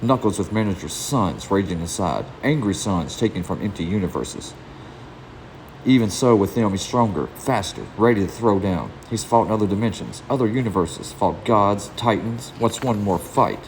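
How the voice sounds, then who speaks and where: Deep, gravelly man in an echoey room. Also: lively intonation, normal speed. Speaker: comic book channel host. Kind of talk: casual vlog talk and dramatic comic read-aloud narration. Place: indoor room. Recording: echoey reverberant room, audible background noise.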